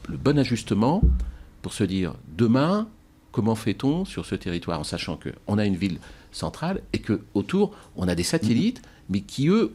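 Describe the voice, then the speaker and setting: A man speaking as a town mayor in a political interview, halting and repeating words for emphasis. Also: mid-range voice, darkish tone, husky.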